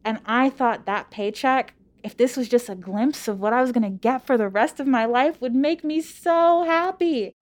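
A college student in her twenties from Louisiana, telling her own story in a casual interview with lively, emphatic rhetorical questions. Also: a little high, moderate pace, few pauses. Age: 20s.

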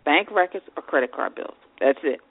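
A woman's voice: low voice, animated, normal speed, husky, dry recording. A woman hosting an advice podcast, sounding congested and nasal, reading off lists of tips with casual fillers. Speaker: podcast host. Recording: tinny phone-like line.

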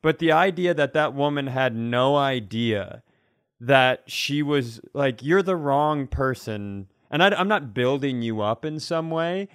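A man's voice: medium pitch, animated, unhurried, a bit bright, clean tone, dry recording. Dry-recorded American man, wry comic banter. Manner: profane, wry interjections. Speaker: comedy podcast co-host.

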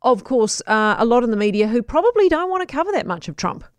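A woman's voice: a little high, big pitch swings, fast talker, no room sound. A woman with a New Zealand accent hosting a live radio news interview and putting questions to a guest.

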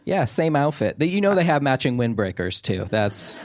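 A man's voice: mid-range voice, very animated, moderate pace. A man from Maine with a Maine accent, a comedian chatting on stage in front of a live audience and telling a joke in a rambling way, full of restarts. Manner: rambling, many restarts, laughing storyteller. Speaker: comedian guest. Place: theater stage before an audience.

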